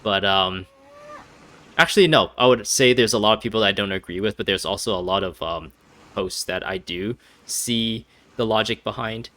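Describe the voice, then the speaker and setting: A man's voice: on the low side, moderate pace, pushed and bright, no echo. A young man doing casual game commentary, rambling and hesitant as he thinks aloud. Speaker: gaming video host.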